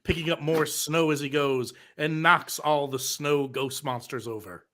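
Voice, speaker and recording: A man's voice: on the high side, fairly even intonation, a little slow, bright, forceful tone. A man reading scripted cartoon story narration at a live table read.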